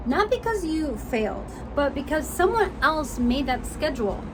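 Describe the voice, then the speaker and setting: A woman's voice: high voice, sing-song, normal speed, over music, rough recording. A woman in her thirties giving an emphatic, encouraging motivational talk, calling listeners honey and y'all. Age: 30s. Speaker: vlogger.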